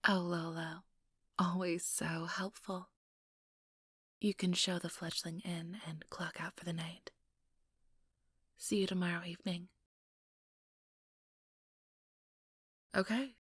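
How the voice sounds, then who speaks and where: A woman playing an ambitious, sugary, manipulative vampire in an ASMR roleplay, speaking in a breathy, whispery voice with coaxing, condescending sweetness. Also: on the low side, flat delivery, measured pace, long pauses, a bit bright, no echo, studio quality. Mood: brisk, welcoming. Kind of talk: ASMR roleplay, soft whispered monologue to listener.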